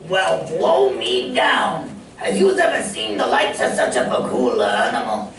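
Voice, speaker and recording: Gruff, chuckling cartoon sailor, pitch leaping about, very echoey stage. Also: male voice, huge pitch swings, a little slow, full character voice. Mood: amazed. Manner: chuckling, folksy, ungrammatical sailor talk. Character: gruff, good-hearted cartoon sailor man with mangled grammar. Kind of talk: old radio comedy acting. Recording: roomy stage recording, far mic, faint noise.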